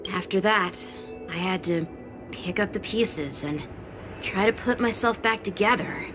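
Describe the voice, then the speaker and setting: A woman voicing an earnest, serious young boy sword apprentice in a game cutscene, speaking in a reflective, measured way. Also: mid-range voice, natural voice. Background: game score music.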